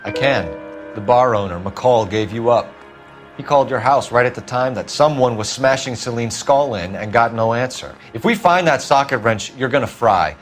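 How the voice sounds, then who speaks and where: Young 1940s American detective, played by a man, stern, driven, clipped delivery, middle pitch, for a crime game. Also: expressive, moderate pace, faint music behind, close to an everyday voice. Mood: hard pressing. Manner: clipped, authoritative. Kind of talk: video game crime drama acting.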